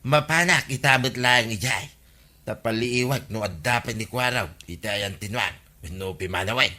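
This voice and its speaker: An actor playing a teasing, impulsive village neighbour in an Ilocano radio comedy drama, with lots of exclamations. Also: male voice, mid-range voice, normal speed, pushed and bright, close to an everyday voice. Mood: scheming.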